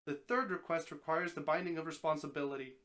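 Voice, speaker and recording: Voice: mid-range voice, fairly even intonation, fast talker, a bit bright, pitched below the performer's own voice, lightly acted. A reader voicing a talking snake from a story, helpful and wry, explaining things in a matter-of-fact way. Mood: wry, instructive. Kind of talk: storybook dramatic reading.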